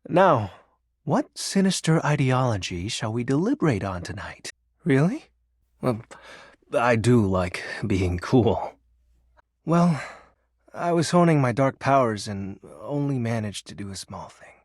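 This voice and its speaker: Game role: brooding personification of darkness, grandiose yet awkward and wistful, highly animated pitch, dry recording. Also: male voice, measured pace, dry recording. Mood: fond, grandiose. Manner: theatrical grandiose villain speech slipping into awkward, wistful sincerity. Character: brooding, lonely, melodramatic male personification of darkness. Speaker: voice actor. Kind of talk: dramatic video game character acting.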